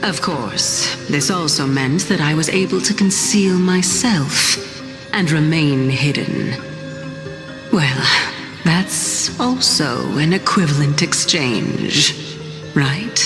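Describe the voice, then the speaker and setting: Ancient goddess, low and unhurried, poised, teasing, game dialogue, long reverb over music. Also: female voice, lively intonation. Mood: composed, musing. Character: ancient playful goddess of reason, composed and teasing. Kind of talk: video game character acting.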